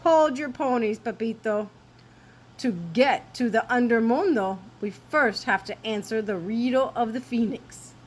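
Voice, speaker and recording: Woman voicing grand, high-pitched little Chihuahua bandit. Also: measured pace. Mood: bossy, encouraging. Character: small Chihuahua bandit pals, eager and grandly mysterious.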